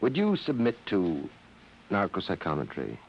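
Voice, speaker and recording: An actor in a 1950s radio drama playing a measured army psychiatrist, formal and methodical as he probes, on a vintage recording. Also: low-pitched, wildly swooping pitch, a little hiss or hum, exaggerated voice, low-quality recording. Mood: clinical, calm.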